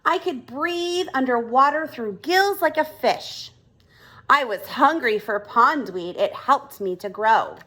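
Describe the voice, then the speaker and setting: A woman's voice: sits high, animated, slow, sharp tone, full character voice. A woman voicing a friendly grown-up frog in a children's storybook, explaining things patiently and with emphasis. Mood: enthusiastic, explaining. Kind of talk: children's storybook read-aloud dialogue.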